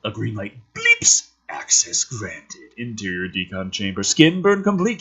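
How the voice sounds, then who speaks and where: A man's voice: mid-range voice, bright, forceful tone, breathy edge, poor audio. A man doing a dramatic script reading with sarcastic, sweary commentary, theatrical and exasperated in delivery. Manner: theatrical, exasperated, foul-mouthed. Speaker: host reading a film script draft with commentary.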